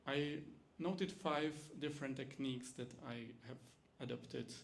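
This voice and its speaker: A man's voice: mid-range voice, unhurried, creaky. A man from the Czech Republic with a Czech accent, a university lecturer giving a teaching demonstration in English, his second language, with frequent fillers and restarts.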